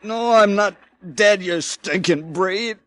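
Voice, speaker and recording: Wounded sidekick: high, slow, groaning, defiant, faint noisy old recording. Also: male voice, fairly bright tone. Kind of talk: 1950s radio drama acting.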